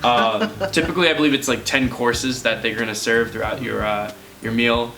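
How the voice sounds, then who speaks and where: A man's voice: mid-range voice, normal speed, creaky, sharp tone, slight background noise. An American man, a guest DJ, explaining things casually with some fillers. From United States. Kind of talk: casual chat.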